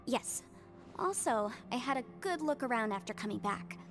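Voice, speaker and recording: A woman's voice: fairly high pitch, lively intonation, normal speed, fairly bright tone. A woman voicing a clever, confident young strategist in a fantasy video game, explaining things earnestly and articulately. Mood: thoughtful, hopeful. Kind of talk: scripted video game voice acting. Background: game music.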